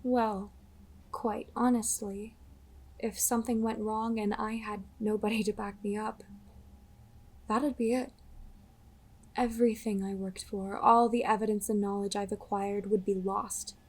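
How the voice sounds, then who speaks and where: A woman acting as a self-assured, enigmatic witch in an audio drama. Her voice is husky and medium in pitch, and she speaks with composed, wry deliberation. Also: flat delivery, measured pace, pauses often, a little mellow. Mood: matter-of-fact.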